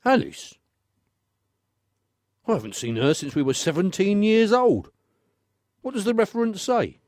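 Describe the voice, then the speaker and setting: A man's voice: high voice, sing-song, a little slow, a little mellow, dry recording, studio quality, heavily acted. A man playing a put-upon, obliging odd-job man in a radio play, talking in a wry, incredulous, conversational way. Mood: sheepish.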